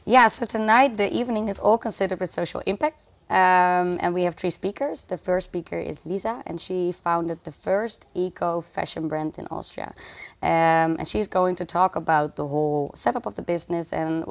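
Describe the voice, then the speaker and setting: A woman with a slightly low voice talks as an interview guest. She explains her work in an enthusiastic, conversational way. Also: normal speed. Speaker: interview guest, social project community organizer.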